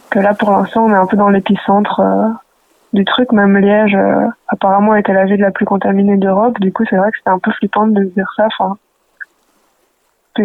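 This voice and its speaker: A woman's voice: mid-range voice, no echo. Hesitant young woman on a tinny line. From Belgium. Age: 20s. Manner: hesitant, many fillers. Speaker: student sending a message. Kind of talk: personal voice message.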